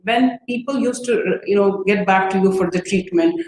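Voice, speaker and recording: A woman's voice: mid-range voice, moderate pace, darkish tone. A woman who is a general practitioner hosts a live interview, asking questions hesitantly and often repeating phrases. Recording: echoey room, faint hiss.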